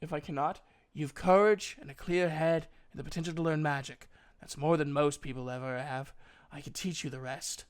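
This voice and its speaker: A man voicing a proud elf mage in a storybook reading, a gruff but kindly mentor with emphatic, old-fashioned speech. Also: a little high, fairly even intonation, normal speed, close to an everyday voice. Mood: encouraging.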